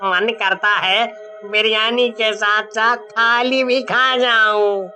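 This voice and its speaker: A man voicing an eager, gushing village customer in a cartoon, with drawn-out, effusive delivery. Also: high-pitched, fairly even intonation, pushed and bright, soft background music, heavily acted. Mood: delighted praise.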